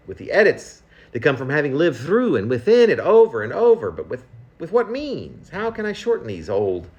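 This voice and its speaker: A man reading his own confessional poem aloud in a conversational, reflective and self-questioning manner. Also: medium pitch. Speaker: poet reading own work.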